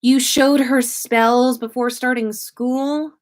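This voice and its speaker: Woman as emphatic husband, raised and drawn-out. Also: high-pitched, fairly even intonation, pitched above the performer's own voice. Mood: teasing reproach. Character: good-humored grown man, teasingly scolding husband and father.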